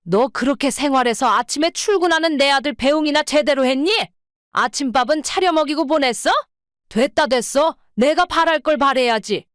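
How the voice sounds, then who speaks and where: A woman's voice: high-pitched, normal speed, no room sound, studio quality, pitched above the performer's own voice, close to an everyday voice. A woman voicing a scornful older mother-in-law who scolds with accusing questions in a dramatized story. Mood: scornful nagging.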